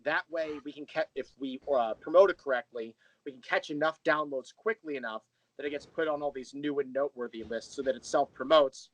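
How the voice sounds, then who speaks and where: A man's voice: medium pitch, brisk, hardly any pauses, a bit bright, no echo, rough recording. A man speaking as an interview guest, explaining things in detail in a conversational way. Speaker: interview guest, audio drama creator.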